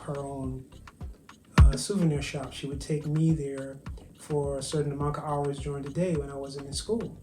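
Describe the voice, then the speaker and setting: A man from Barbados with a Barbadian accent, a chef talking as a guest in a casual virtual interview, soft-spoken and hesitant with many ums. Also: fairly high pitch, echoey room. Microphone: video call.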